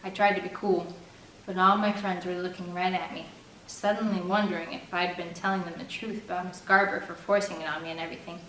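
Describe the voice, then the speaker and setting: A woman's voice: fairly low pitch, level tone, fairly quick, fairly bright tone, far from the mic. A woman reading a novel aloud, giving the first-person narration plenty of expression. The mic sits back in an echoey room. A faint air-conditioner hum stays underneath throughout. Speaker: audiobook reader.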